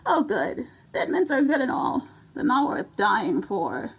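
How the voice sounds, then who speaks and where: A woman voicing a timid undead ghoul pony in an audio drama, with a harsh, raspy, hesitant delivery. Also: on the high side, big pitch swings, unhurried, heavily acted. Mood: relieved, weary. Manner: stammering, hesitant. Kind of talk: audio drama character acting.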